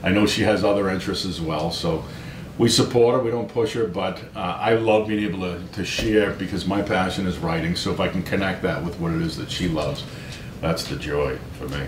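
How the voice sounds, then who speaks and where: A middle-aged American man chatting as an interview guest, telling anecdotes in a self-deprecating, conversational way full of check-in tags. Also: fairly quick. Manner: self-deprecating, chatty, peppers talk with 'right?' and 'you know'. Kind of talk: casual anecdotal storytelling in an interview. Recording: roomy echo, low rumbling background noise.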